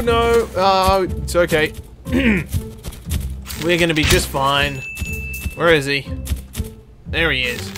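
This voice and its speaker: A man hosts a gaming video in a fairly high voice, talking at an unhurried pace. His commentary is casual and exclamatory, often addressed straight at the characters in the game. Clear game music plays behind him. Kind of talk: casual let's-play commentary.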